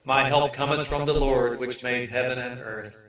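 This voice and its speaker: A man's voice: medium pitch, little pitch movement, normal speed, fairly bright tone, heavy reverb, far from the mic, close to an everyday voice. A man playing a solemn prison priest reciting prayer in a radio play. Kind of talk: old-time radio play acting, scripture recitation. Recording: faint noise, home video-call recording.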